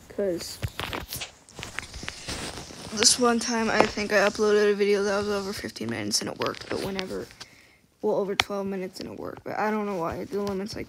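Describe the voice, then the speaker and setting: Soft-spoken woman rambling over gameplay, scratchy gravelly voice, noisy hiss behind. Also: mid-range voice. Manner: quiet, soft-spoken, trailing off. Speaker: gaming video creator.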